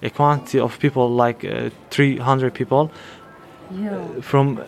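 A man's voice: medium pitch, expressive, a little slow, vocal fry. A man from Syria speaking, giving a casual interview and hesitantly searching for his words. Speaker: interviewee, local resident.

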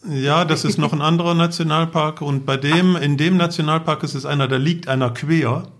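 A man's voice: on the high side, fairly even intonation, brisk, fairly bright tone. A middle-aged man casually recalls travels in a conversational storytelling way. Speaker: podcast guest, travelling father.

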